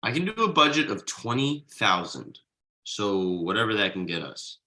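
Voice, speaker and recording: A man playing Thomas, an earnest, frugal writer who gets excited easily, in a table read of a TV pilot script. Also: on the low side, moderate pace, full character voice. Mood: earnest, questioning.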